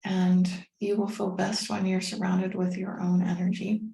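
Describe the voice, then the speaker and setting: Mellow, even-toned woman guiding meditation. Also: on the low side, creaky. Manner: gentle, unhurried, soothing instruction with pauses. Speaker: guided meditation teacher. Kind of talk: guided meditation. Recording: roomy, echoing sound.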